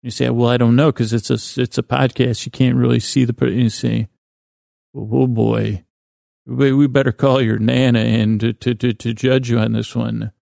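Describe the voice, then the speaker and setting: Very creaky, soothing man, rambling softly. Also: medium pitch, little pitch movement, no room sound, clean studio sound. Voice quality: creaky, gravelly. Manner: meandering, halting, self-interrupting; lulling, gentle delivery. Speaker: podcast host. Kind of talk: rambling, soothing bedtime monologue.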